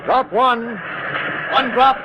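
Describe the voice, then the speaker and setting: An actor playing an urgent naval officer bellowing battle orders in an old-time radio drama. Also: male voice, very high voice, steady tone, takes it slowly, highs cut off. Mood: commanding. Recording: noisy vintage radio, distant over engine roar.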